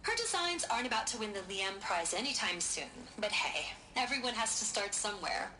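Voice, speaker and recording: A lively, playful female scientist from a video game, voiced by a woman who explains eagerly in a mid-pitched voice. Clear background noise runs through the game audio. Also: a little fast, close to an everyday voice.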